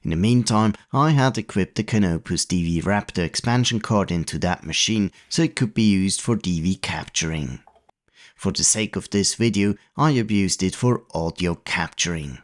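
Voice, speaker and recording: A man hosting a retro computing channel, giving a calm, methodical tutorial voice-over. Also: deep voice, moderate pace. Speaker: retro computing video host.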